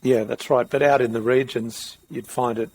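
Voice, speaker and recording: A man's voice: mid-range voice, fairly even intonation, normal speed, a little mellow, slight background noise, poor audio. A middle-aged man, hosting a podcast interview in a rambling, thinking-aloud way. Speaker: podcast host. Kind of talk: casual interview.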